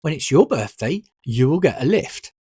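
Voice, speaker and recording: A narrator voicing a brisk, affectionate, mildly exasperated mother in an audiobook. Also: mid-range voice, big pitch swings, warm tone, slightly rough, dry recording, clean studio sound.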